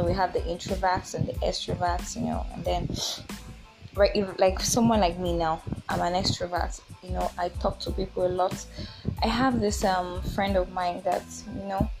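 A woman in her twenties with a Nigerian accent, talking informally as a solo podcast host in a chatty, halting, repetitive way. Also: lowish voice, poor audio. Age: 20s.

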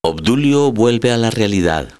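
A man's voice: on the low side, expressive, unhurried, a little mellow, smooth. A man reading scripted dramatic narration as the narrator of a story. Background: music.

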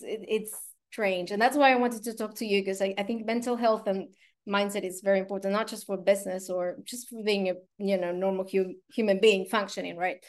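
A woman hosting a casual interview, speaking directly and bluntly, with many fillers. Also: medium pitch. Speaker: interview host.

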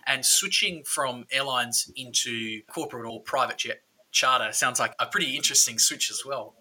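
A man's voice: medium pitch, normal speed, pushed and bright, breathy edge, rough recording. A man, hosting an aviation podcast interview. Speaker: podcast host, pilot.

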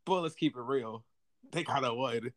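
A man's voice: mid-range voice, normal speed, dry recording. A young man with a mid-range voice, recorded close and dry. He chats casually and teases his sibling with mock seriousness, needling more than arguing. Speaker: podcast co-host. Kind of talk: casual sibling podcast chat. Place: apart from his co-host, remote recording.

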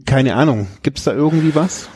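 A man's voice: a little high, moderate pace, warm tone, husky, dry recording. A man interviewing a guest, giving short replies and asking follow-up questions. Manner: brief backchannel replies, probing questions. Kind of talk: conversational interview.

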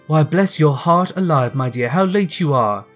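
A man's voice: a little high, lively intonation, moderate pace, fairly bright tone, soft background music. A man plays a homely storybook mother of a poor household. She fusses affectionately and bustles along, in a slightly raised voice. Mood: affectionate, fussing. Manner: fussing, homely. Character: bustling, affectionate working-class mother, Missus Cratchit.

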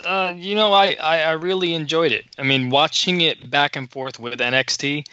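A man co-hosting a casual podcast, with a very bright and sharp voice. He talks haltingly, repeating words as he goes. The sound is dry and close, with a dull, lo-fi tone. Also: on the high side, fairly even intonation, smooth, no room sound. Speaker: podcast co-host.

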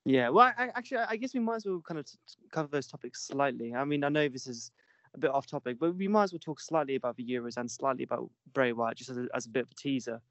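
A man's voice: mid-range voice, fast talker, dry recording. A young man hosting a podcast in casual chat, jokey and self-mocking, often stumbling and restarting his words. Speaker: podcast host.

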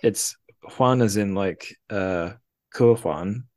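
A man's voice: on the low side, takes it slowly, a little mellow. A man hosting a podcast, talking casually and rambling with lots of fillers and self-deprecating asides. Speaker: podcast host. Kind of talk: casual chat.

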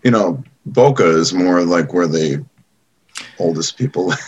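A man's voice: deep voice, a little slow, dull audio, a little hiss or hum. A middle-aged man from Long Island talking casually as a guest on a video call, easygoing and full of fillers. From Long Island, New York. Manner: relaxed, hedging with many fillers. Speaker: guest, event company founder.

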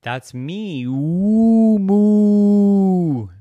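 A storyteller of unclear sex, likely a man, voicing an eager, boastful fairy cow with drawn-out moos and booming exclamations. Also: expressive, dry recording, phone-quality sound. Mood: proud mooing.

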